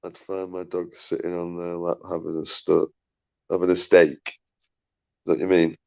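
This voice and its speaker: A man hosting a casual live stream, swearing freely, laughing a lot and bantering with callers in a loud voice. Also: completely flat delivery, normal speed, creaky, clear, resonant tone, lo-fi sound. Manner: says he has a deep, loud voice. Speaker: livestream host.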